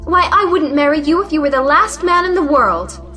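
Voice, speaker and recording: A woman's voice: high-pitched, moderate pace, pushed and bright, faint music behind. An actress playing a proud, frank young woman in an audio play, speaking with emphatic dignity. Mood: proud, scornful. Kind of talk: audio play acting of a 1910s stage comedy.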